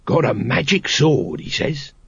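A man's voice: medium pitch, big pitch swings, measured pace, gravelly, fairly bright tone, husky, lo-fi sound, full character voice. A man voicing a wheedling, whiny male street sausage seller in a storybook reading, with sing-song sales patter. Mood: hawking.

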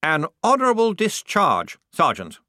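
A man's voice: fairly high pitch, big pitch swings, moderate pace, bright, forceful tone, no echo, studio quality, voice pushed up. A man voicing a nervous, pompous but well-meaning army lieutenant in an audiobook, speaking in a politely chipper, hesitant way. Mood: grandly pleased.

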